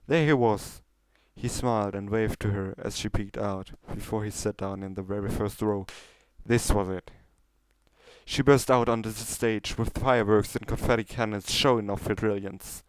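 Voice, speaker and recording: A man's voice: on the low side, fairly even intonation, normal speed, clear, resonant tone, dry recording, low-quality recording. A man reading a scripted story aloud as its narrator. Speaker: story reader.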